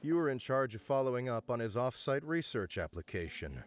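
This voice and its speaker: A man's voice: mid-range voice, sing-song, brisk, close to an everyday voice. A man voicing an officious research office supervisor in a video game, formal, polite and businesslike. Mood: stern but polite. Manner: formal, polite, mildly reproachful.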